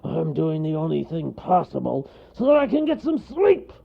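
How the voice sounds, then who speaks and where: A man voicing a huge, grumpy bear who wants sleep, with a gruff, growling, exasperated roar in a children's story. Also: a little high, very animated, moderate pace, muffled recording, voice pushed up, exaggerated voice. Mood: grumpy, forceful. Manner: roaring, exasperated bellowing.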